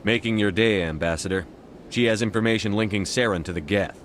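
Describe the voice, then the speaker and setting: An actor as a low-voiced, terse military commander. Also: male voice, fairly quick, slight background noise, natural voice. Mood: steady, serious. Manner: matter-of-fact, terse. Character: firm, no-nonsense male military commander hero. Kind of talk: video game voice acting. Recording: game dialogue over loud music.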